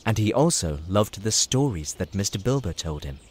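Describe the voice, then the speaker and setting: A man's voice: fairly low pitch, very animated, moderate pace, slightly rough. A man reading scripted storybook narration for an audio drama. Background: music.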